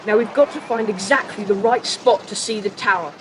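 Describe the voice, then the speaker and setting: An actor voices a sensible, take-charge schoolboy in a children's audio drama. The voice is brisk and well-spoken, at a medium pitch. Noisy ambience runs clearly behind it. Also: fairly bright tone, natural voice. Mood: brisk, intrigued. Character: sensible, confident schoolboy, leader of young adventurers.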